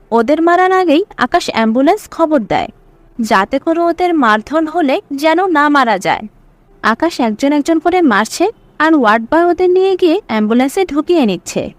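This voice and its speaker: Woman narrator telling a story, pretty high voice, dry recording. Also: no echo.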